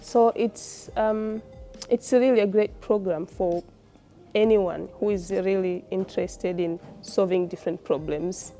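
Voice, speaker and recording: A woman's voice: medium pitch, steady tone, measured pace, over music. A woman with a Tanzanian accent, a postgraduate student giving an informal interview in an explanatory, conversational way.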